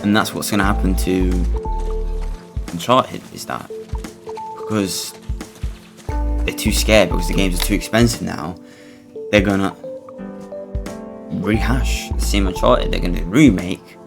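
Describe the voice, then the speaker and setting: A man giving casual, rambling and halting gameplay commentary, blunt and sweary as host of a gaming video. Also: low-pitched, steady tone, gravelly, over music.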